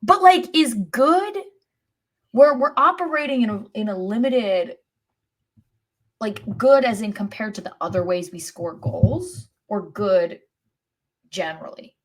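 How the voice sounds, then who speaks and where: A woman's voice: on the high side, expressive, a little slow. A woman co-hosting a sports podcast, chatting casually with dry, sarcastic humor. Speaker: podcast co-host.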